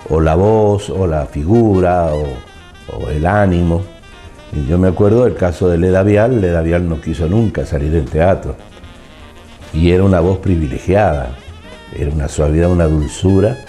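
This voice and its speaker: An older man with an Argentine accent reminisces in an interview, speaking haltingly as he searches for words. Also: low-pitched, mellow, soft background music.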